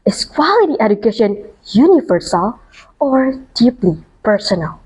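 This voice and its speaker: A woman with a fairly high voice co-hosts a podcast. She asks questions in a friendly, encouraging way. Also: very animated, moderate pace, darkish tone, slightly rough, rough recording. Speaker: podcast co-host. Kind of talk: student podcast hosting.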